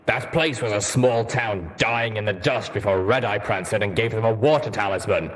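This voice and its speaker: A gruff, armored pony soldier from a fantasy story, acted out by a man. He speaks low and stern, with an accusing, demanding temper. He sounds like a hard veteran who cannot believe the fools around him. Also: moderate pace, natural voice. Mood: exasperated.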